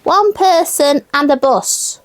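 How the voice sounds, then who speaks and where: A woman's voice: high voice, slow, dry recording. A woman voicing a naive, eager young child puppet with innocent exclamations in a children's comedy show. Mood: amazed.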